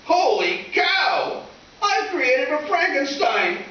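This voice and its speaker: A man's voice: extremely high pitch, very animated, slow pace, pushed and bright, dull audio, heavily acted. A man playing a scheming, melodramatic teenage schoolboy with slangy, whiny delivery in old-time radio comedy style. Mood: panicked pleading. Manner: whiny, exclamatory, theatrical pleading. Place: live stage performance in a reverberant room. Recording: echoey distant stage recording with hiss.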